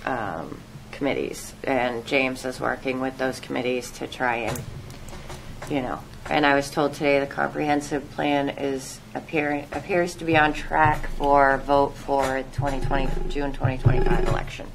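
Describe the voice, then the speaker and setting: A woman's voice: rumbling low, steady tone, normal speed, gravelly, a little hiss or hum, rough recording. A woman explaining things informally and with careful, hesitant diplomacy as an official at a public meeting.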